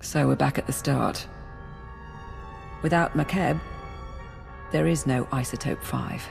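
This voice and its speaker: A woman's voice: very deep voice, slow pace, vocal fry, darkish tone, soft background music, full character voice. A woman playing a practical, anxious imperial officer in a video game, speaking deferentially to superiors. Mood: worried, practical. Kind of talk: scripted video game acting.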